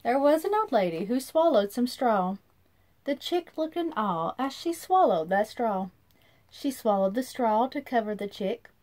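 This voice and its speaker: Woman in her thirties, higher-pitched voice, very varied intonation, sing-song children's read-aloud. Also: unhurried. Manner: animated, sing-song rhyming delivery. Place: small indoor room.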